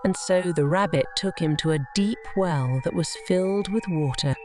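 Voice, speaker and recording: A woman's voice: lowish voice, normal speed, darkish tone. A woman narrating scripted bedtime stories for children as a storyteller. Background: music.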